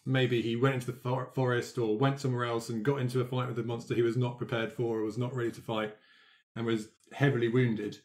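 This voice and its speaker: A man chatting informally and a little haltingly as a tabletop roleplayer describing his character's backstory. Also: medium pitch, little pitch movement. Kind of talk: casual chat about his character's backstory.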